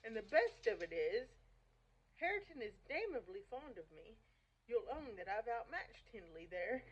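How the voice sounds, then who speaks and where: A woman's voice: a little high, sing-song, normal speed, breathy edge. A woman reading aloud as a cruel, gloating man from a gothic novel. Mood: smug gloating. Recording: dull, muffled lo-fi recording.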